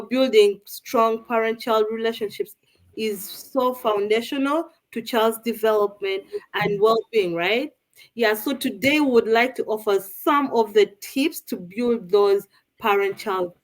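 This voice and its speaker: A woman's voice: fairly high pitch, measured pace, low-quality recording. Upbeat woman hosting a live video call. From Uganda. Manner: upbeat, chatty, addresses viewers directly. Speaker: live show host. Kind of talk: hosting a live online panel.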